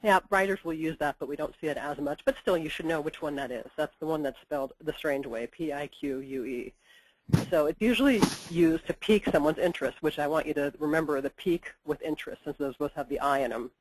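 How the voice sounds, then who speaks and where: A woman's voice: low-pitched, a little fast, husky, poor audio. A woman editor with a head cold, giving practical explanations in a webinar interview and often saying "you know". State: stuffed up with a cold. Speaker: book editor.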